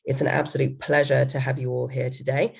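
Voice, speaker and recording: Croaky woman hosting an event. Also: a bass voice, flat delivery, brisk. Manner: apologetic, scripted welcome. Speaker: event host. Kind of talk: opening remarks.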